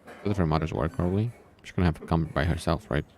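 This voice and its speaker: A man reading the lines of a wry, defiant high-school boy, the hero of a visual novel. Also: quick pace, a little mellow. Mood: glum, wry.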